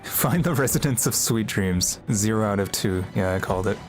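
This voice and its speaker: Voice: medium pitch, lively intonation, moderate pace, soft background music. A streamer giving casual livestream game commentary in a wry, offhand way.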